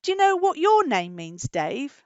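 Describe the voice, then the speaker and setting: A British woman hosting story time for young children, asking animated questions, stretching key words and adding tag questions. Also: high voice, normal speed, no room sound, lo-fi sound. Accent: British English.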